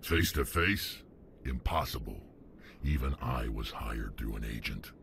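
A man voicing a huge, ruthless alien mercenary in a video game, deep and gravelly, blunt and menacing. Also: animated, a little slow, noisy background, full character voice. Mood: grim, menacing.

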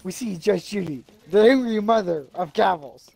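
Script reader, creaky voice, comedic read-aloud, casual. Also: mid-range voice, animated, normal speed, a little mellow, low-quality recording.

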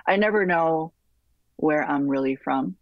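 A middle-aged woman from Taiwan, an architect answering interview questions in a thoughtful way, with many restarts and soft trailing fillers, heard over a video call. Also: deep voice, unhurried, no room sound, muffled recording. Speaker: architect guest. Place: home room with bookshelves, remote call.

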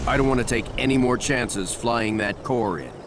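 An actor playing a steady, middle-aged starship captain giving calm, authoritative orders in a video game cutscene. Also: male voice, medium pitch, normal speed, very noisy, lightly acted. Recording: game audio under loud orchestral score.

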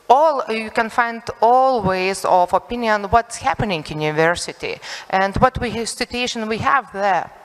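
A young woman from Lithuania speaks English with a Lithuanian accent, in slow, careful phrases. Her voice rings out in a large conference hall with a long reverb. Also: medium pitch. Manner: halting non-native English, unhurried. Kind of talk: conference presentation. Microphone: handheld microphone.

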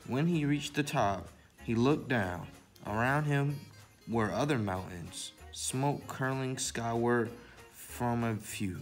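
A man's voice: mid-range voice, slow, soft background music. A man hosting a library story time, presenting to children in the manner of a read-aloud and simple how-to.

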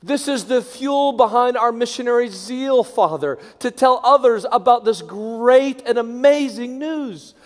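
A middle-aged man, a pastor leading a congregation in prayer from a lectern, moving between hushed, near-whispered phrases and strong, raised proclamation. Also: very high voice, unhurried, few pauses. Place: large church sanctuary. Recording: long church reverb.

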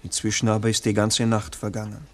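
An actor playing a sober, matter-of-fact witness reporting in a 1960s radio play. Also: male voice, lowish voice, fairly quick, close to an everyday voice. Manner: flat, factual reporting. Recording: 1960s mono radio recording.

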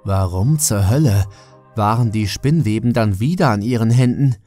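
A man's voice: fairly low pitch, moderate pace, warm tone, soft background music. A man reading a scripted first-person horror audiobook as the narrator.

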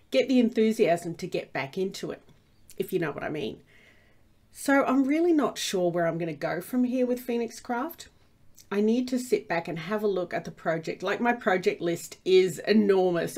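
A woman with a stuffy cold, rambling through casual commentary over her Minecraft gameplay with lots of fillers and restarts. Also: lowish voice. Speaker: Minecraft let's play host.

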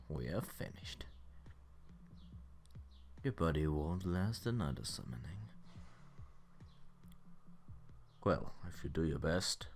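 A man voicing a seductive, domineering incubus demon in an ASMR roleplay, teasing in an intimate, breathy murmur that often drops to a whisper. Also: a bass voice, darkish tone, a little hiss or hum, heavily acted. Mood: smug. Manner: slow, teasing, commanding.

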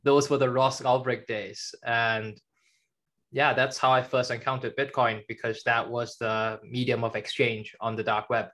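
A young man, born in China and raised in Germany, speaks over a headset on a video call from his home. His voice sits at an ordinary pitch, and his answers come out plain and measured, delivered without fuss. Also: little pitch movement, fairly bright tone. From born in China, grew up in Germany. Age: young adult. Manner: plain, measured, matter-of-fact. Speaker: guest, journalist and author. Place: home room with a kitchen behind.

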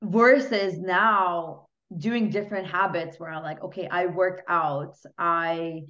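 A young woman from Hungary talks casually as a podcast guest, her speech full of "like" and "you know". Her voice sits fairly low for a woman. The sound is dull and lo-fi, picked up by a laptop mic on a video call. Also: steady tone, slow pace, a bit bright. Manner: chatty, many fillers like "you know" and "like". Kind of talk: casual interview chat. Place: small home room. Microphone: laptop webcam mic on a video call.

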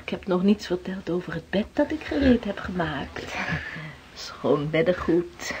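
An actress playing a seafarer's doting, curious sweetheart in a radio drama, attentive and coaxing. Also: female voice, lowish voice, very animated, a little slow, background noise. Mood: tender, seductive.

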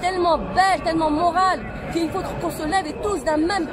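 A woman in her forties from France, speaking in an impassioned, indignant street interview with rapid rhetorical questions, recorded outdoors on a city street with a close handheld camera. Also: very high-pitched. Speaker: protester. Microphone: handheld camera close to face. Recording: loud street crowd and traffic noise. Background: crowd chatter, traffic.

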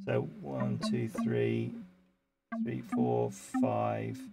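Gravelly man talking shop in his studio. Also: extremely low pitch, very slow. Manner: relaxed and explanatory, with frequent ums and restarts. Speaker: music producer hosting a live stream. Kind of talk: casual live-stream track breakdown. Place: home music studio with keyboard and computer. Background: faint synth music from the session.

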